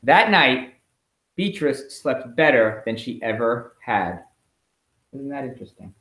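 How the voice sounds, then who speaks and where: A middle-aged man, a school principal, reading a storybook aloud expressively and pausing with chatty asides and questions for children. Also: mid-range voice, animated, fairly bright tone. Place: indoors at home. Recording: echoey room in a home.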